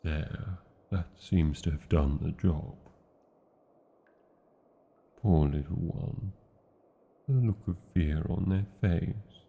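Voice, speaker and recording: A British man voicing a huge, ancient, proud yet lovesick dragon in a romantic fantasy roleplay, with a gravelly, husky voice and archaic, theatrical phrasing. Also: low-pitched, narrow, tinny audio, heavily acted. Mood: melancholy, regretful. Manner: archaic, grandiose phrasing with lingering pauses.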